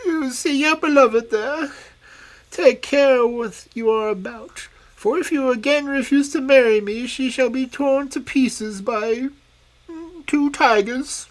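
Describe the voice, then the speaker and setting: A woman voicing a wicked, imperious old fairy queen in a shrill, commanding voice. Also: slow pace, rough recording. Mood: menacing. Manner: shrieking, commanding.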